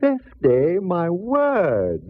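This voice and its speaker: Florid, fussy gentleman sidekick, arch, animated high voice, dull old-radio sound. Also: drawn-out words, heavily acted. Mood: arch, playful. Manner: arch, florid, mock-indignant. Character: flamboyant, fussy, theatrical male sidekick with florid wit. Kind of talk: 1940s radio comedy-drama acting. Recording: muffled old radio recording.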